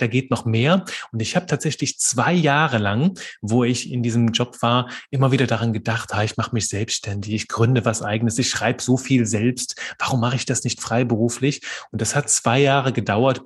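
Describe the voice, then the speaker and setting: A man in his thirties, born in Belgium, chats as a guest on a founders' podcast. His voice sits in the middle range and has a slightly husky, breathy edge. He tells his story in a lively way, full of fillers and restarts. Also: mid-range voice. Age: 30s. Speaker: podcast guest, copywriter and trainer. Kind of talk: casual interview chat.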